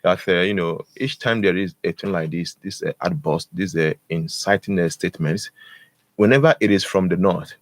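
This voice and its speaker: A man giving analysis in a radio studio. His voice is very low, and he circles his points with many fillers and restarts before landing them emphatically. The mic is close and dry. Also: moderate pace, no room sound. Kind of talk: discussion on radio.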